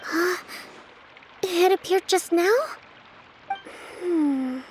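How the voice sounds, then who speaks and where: Very high, polite young advisor. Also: female voice, drawn-out words, darkish tone, a little hiss or hum, exaggerated voice. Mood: pondering. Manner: courteous, careful phrasing. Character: polite, thoughtful young female advisor, gentle and formal.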